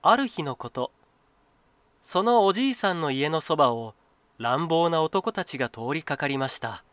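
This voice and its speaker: A man reading a children's folktale as a storyteller, in an expressive, clearly phrased scripted style. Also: fairly high pitch, moderate pace, dry recording.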